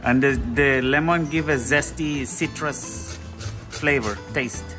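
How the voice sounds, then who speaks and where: A man's voice: medium pitch, slow, music in the background. A man hosting a casual vlog, explaining a recipe and often checking in with short tag questions. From Trinidad. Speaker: vlog host. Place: home kitchen.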